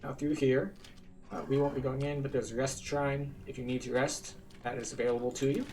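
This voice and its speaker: Relaxed man explaining a game. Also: medium pitch, fairly quick, soft background music. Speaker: game streamer. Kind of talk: casual game walkthrough commentary.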